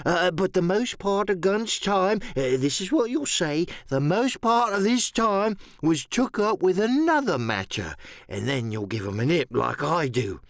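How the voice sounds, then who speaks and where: Marooned old sailor, high-pitched, swooping intonation, half-crazed, conspiratorial, rambling, repetitive, audiobook adventure. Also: male voice, lively intonation, measured pace, creaky, dry recording, clean studio sound, full character voice. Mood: insistent, eccentric. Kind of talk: audiobook radio play character acting.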